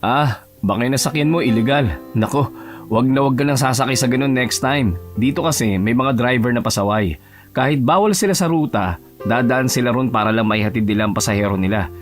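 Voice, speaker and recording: A man's voice: medium pitch, fairly quick, soft background music, lightly acted. A man playing a friendly, talkative young office worker in an audio romance drama, casual and reassuring. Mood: chatty. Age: young adult.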